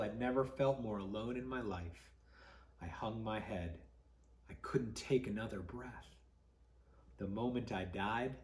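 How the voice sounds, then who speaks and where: A man reading a story to children as a pastor would, dramatic and expressive, with deliberate pauses. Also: medium pitch, roomy sound.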